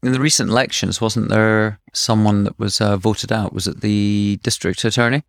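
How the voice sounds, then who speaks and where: A man hosting a podcast, reading a scripted guest introduction, then chatting in a measured way. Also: on the low side, fairly even intonation, no room sound, studio quality. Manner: measured, reads a list-like introduction. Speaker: podcast host. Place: Austin, Texas.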